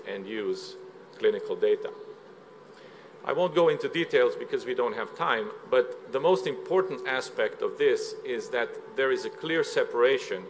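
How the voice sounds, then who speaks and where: A man's voice: medium pitch, a little hiss or hum, poor audio. Slovenian man giving a conference talk. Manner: measured, explanatory, with frequent "uh" fillers. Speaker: conference speaker. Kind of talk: conference presentation. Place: conference hall.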